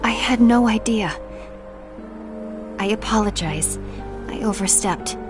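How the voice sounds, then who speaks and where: A woman's voice: medium pitch, takes it slowly, close to an everyday voice. An actress playing a poised, probing woman leader in a fantasy game cutscene. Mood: contrite, gentle. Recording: music behind the voice.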